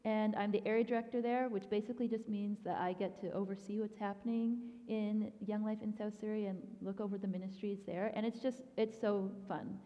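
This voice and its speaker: A woman's voice: mid-range voice, little pitch movement, fairly quick, hardly any pauses. A young woman gives a casual guest talk at a church, chatty and informal with plenty of "um" and "like." Her voice rings with a long hall reverb, and the recording sounds somewhat dull and lo-fi. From grew up in Thailand. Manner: chatty, frequent "um" and "like". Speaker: youth ministry director, guest speaker.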